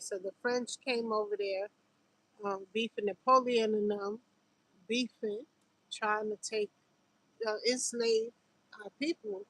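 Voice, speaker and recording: A woman's voice: fairly high pitch, a bit bright, rough recording. A woman with a New York accent chatting casually on a livestream in a rambling, slangy, informal way. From Harlem, New York City, United States. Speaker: livestream host.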